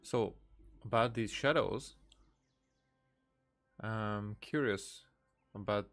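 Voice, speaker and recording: A man's voice: fairly low pitch, extremely slow, a bit bright, soft background music. A man streaming a game with casual live commentary, musing and hesitant as he thinks aloud.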